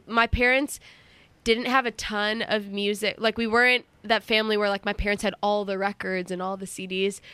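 A woman's voice: mid-range voice, sharp tone, clean tone, dry recording. A young woman, a singer, chatting casually in an interview, with lots of fillers, restarts and laughter.

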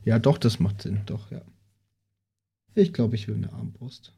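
A man's voice: on the low side, measured pace, gravelly, dark tone, slightly rough. A man chatting casually as a player in a tabletop role-playing podcast. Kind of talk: casual chat.

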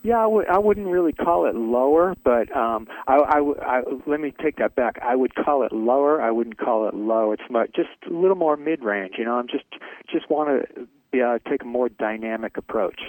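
A man's voice: medium pitch, animated, normal speed, a little mellow, dry recording, poor audio. A middle-aged man, a metal singer, answering interview questions in a hesitant way, with many fillers and restarts. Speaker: metal band vocalist. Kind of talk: interview, casual chat.

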